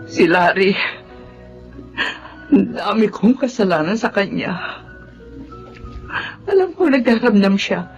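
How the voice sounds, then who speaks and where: A woman's voice: mid-range voice, big pitch swings, takes it slowly, pauses often, highs cut off, faint music behind. A woman playing an older mother of grown daughters in a Filipino drama, with an emotive delivery. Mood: remorseful. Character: money-hungry mother of grown daughters, turning remorseful.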